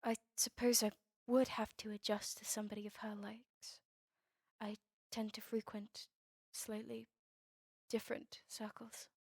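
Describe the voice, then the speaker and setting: A woman playing a guarded vampire heir in a scripted ASMR roleplay, measured, formal and cool. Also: a little high, level tone, creaky, darkish tone, slightly rough, no echo, full character voice. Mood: hesitant.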